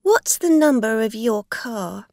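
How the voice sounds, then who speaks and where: A woman performs a scheming, aggrieved woman in an audio story. She sounds insistent and accusing, her pitch raised above plain narration. Words come very slowly and deliberately, close and dry. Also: animated, gravelly, mellow, dry recording, full character voice. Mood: angry, accusing. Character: scheming, angry woman accuser demanding, playing the victim. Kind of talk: acted dialogue in an audio story.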